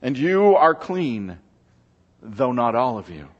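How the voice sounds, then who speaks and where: A man speaking as a pastor, reading scripture to a congregation in a measured, solemn church delivery. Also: medium pitch, sing-song. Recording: muffled, reverberant large-room recording.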